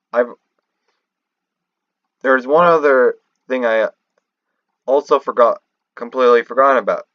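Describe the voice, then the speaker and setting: A man giving unscripted commentary on game lore in a halting, rambling way, often restarting mid-thought. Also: mid-range voice, no echo, highs cut off. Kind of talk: unscripted theorizing commentary over a screen recording.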